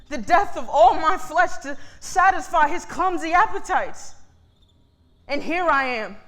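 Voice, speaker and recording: A woman's voice: high-pitched, a bit bright. A woman playing a battered, defiant young woman in a staged reading, shaming her townsfolk in impassioned, declamatory speech.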